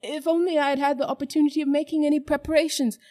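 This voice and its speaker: A woman's voice: high voice, level tone, very fast, a little mellow, pitched above the performer's own voice, close to an everyday voice. A woman doing a stammering, fawning old man. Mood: flustered. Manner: stammering, flustered, overly polite. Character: frightened, fawning old gentleman magician.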